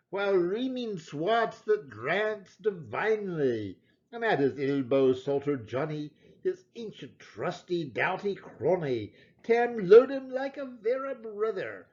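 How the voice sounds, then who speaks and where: A man recites an old narrative poem with theatrical relish. His fairly high voice swings widely in pitch, and he takes his time over each phrase. The delivery is expressive storytelling in Scots. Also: muffled recording. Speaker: poetry reader.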